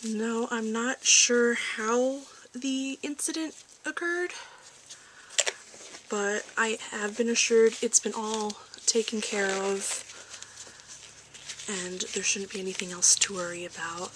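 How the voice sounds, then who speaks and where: A woman plays a calm corporate doctor in a soft-spoken ASMR checkup. Her voice sits a little high and moves at an unhurried, slow pace, gentle and reassuring with some hesitancy. There is faint noise behind her. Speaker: ASMR roleplayer playing a lab doctor. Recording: faint background noise, home ASMR recording.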